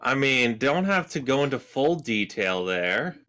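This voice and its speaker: A man leading a tabletop game on a live stream as game master, halting and deliberate, with many pauses and fillers. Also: mid-range voice, sing-song, sharp tone.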